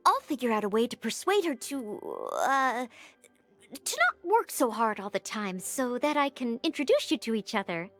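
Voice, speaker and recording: A woman's voice: high-pitched, sing-song, normal speed, a bit bright, faint music behind. A woman voicing a polite, helpful, eager young maiden in a video game, speaking earnestly. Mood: kind. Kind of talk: animated video game cutscene acting.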